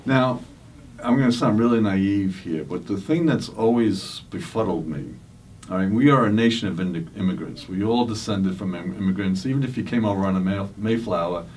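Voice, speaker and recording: A man with a fairly low voice hosts a radio interview, his questions loose and conversational. He sounds a little distant from the mic. A faint noise floor runs under him. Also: moderate pace, darkish tone. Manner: conversational, asks open questions, self-deprecating. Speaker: radio host. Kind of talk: interviewing a guest on talk radio.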